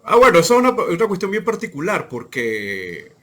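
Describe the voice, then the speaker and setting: A man from Peru and Venezuela, an economist guest, answering interview questions in a measured way with hesitant starts. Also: high voice, huge pitch swings, sharp tone.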